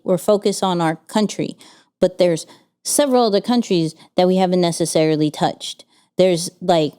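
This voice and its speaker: A woman co-hosts a casual business chat, her voice mellow and smooth. She talks with energy, stacks up rhetorical questions and often restarts her sentences. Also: fairly low pitch. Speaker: podcast co-host.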